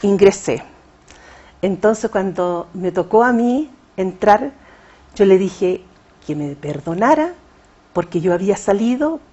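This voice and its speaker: A woman's voice: medium pitch, lively intonation, slow pace, pauses often, warm tone, husky, highs cut off. A Chilean woman, a poet, answering interview questions in a hesitant conversational way with frequent fillers. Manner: hesitant, many fillers and restarts. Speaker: poet and writer, interview guest.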